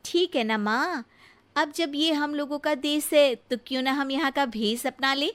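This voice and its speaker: A woman puts on a young Indian daughter-in-law in a family moral tale. Her voice is very high, and she is caring and encouraging, coaxing her elder with respect. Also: measured pace, fairly bright tone. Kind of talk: acted dialogue in a comic moral story.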